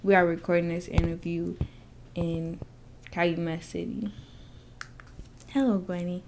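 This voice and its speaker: A woman's voice: on the low side, little pitch movement, unhurried. A young woman interviewing her grandmother, asking short, simple questions. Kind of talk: oral history interview. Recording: audible background noise.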